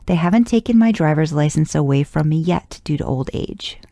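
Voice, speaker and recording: A guarded woman with dry, self-deprecating humour, put on by a woman reader. She deflects briskly in a mellow, creaky voice, as in an audio story. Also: low voice, expressive, no echo. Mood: wry, deflecting.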